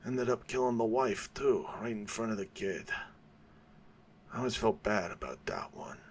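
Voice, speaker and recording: A man's voice: mid-range voice, steady tone, moderate pace, darkish tone, a little hiss or hum, close to an everyday voice. A man voicing a dying old male mob boss who chats casually about his crimes. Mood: sly, reminiscing. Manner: chatty, boastful storytelling.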